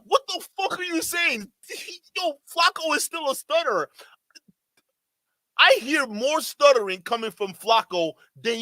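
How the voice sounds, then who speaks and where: A man's voice: very high voice, sharp tone, no room sound. A man reacting live to a stream, exasperated and incredulous, talking straight to his chat. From Nigeria. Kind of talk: livestream reaction commentary.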